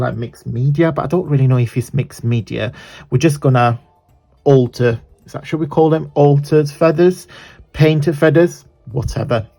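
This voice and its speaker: A man with an Italian accent talks his viewers through a messy craft project in a loose, chatty way. His voice is croaky, and he often wanders off and corrects himself. His pitch sits in an ordinary middle range. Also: a little mellow. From southern Italy. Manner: rambling, chatty, self-correcting. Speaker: craft tutorial host.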